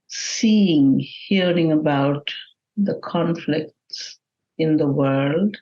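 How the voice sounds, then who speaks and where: A woman leading a guided meditation in a gentle, measured manner with long pauses between phrases. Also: deep voice, muffled recording.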